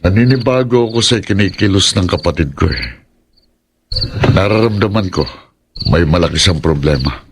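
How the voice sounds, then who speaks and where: A man plays a troubled, protective older brother in a horror audio drama. The voice is fairly low, earnest and hesitant, brooding over each phrase, with faint background noise. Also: animated, normal speed, long pauses.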